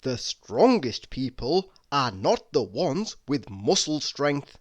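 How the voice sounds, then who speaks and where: Very slow, expressive reader with a mid voice. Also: medium pitch. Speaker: reader of quotes. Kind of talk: reading humorous motivational quotes aloud.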